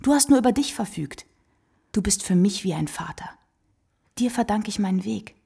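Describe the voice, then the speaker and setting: A woman's voice: mid-range voice, normal speed, gravelly, breathy edge, exaggerated voice. An actress playing a brazen, generous kept woman in a radio drama, speaking in a knowing, theatrical way. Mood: impassioned, generous. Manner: expressive, knowing, frank.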